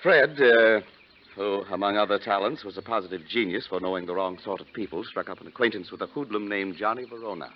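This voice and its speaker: A man's voice: lowish voice, fairly quick, natural voice. An actor playing a fussy, scheming wealthy stepfather, florid and hesitant, in 1940s radio style. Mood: fussy, indignant. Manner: pompous, florid, flustered hesitations. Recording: muffled, noisy 1940s radio recording.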